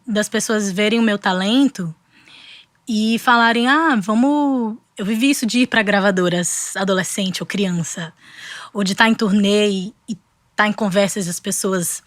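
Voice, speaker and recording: A woman's voice: medium pitch, no echo. A young woman from Brazil with a Bahian accent, reflecting thoughtfully as a guest in a casual interview, restarting her sentences often. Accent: Bahian Portuguese. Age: young adult. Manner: reflective, with frequent restarts and fillers. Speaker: guest artist.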